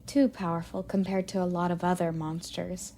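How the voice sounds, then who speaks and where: A woman playing a curious, playful young goddess in an audio roleplay, sweet and expressive with a slightly haughty streak. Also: lowish voice, moderate pace, slight background noise, natural voice. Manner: inquisitive, expressive, cooing at a pet. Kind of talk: romantic audio roleplay addressed to the listener.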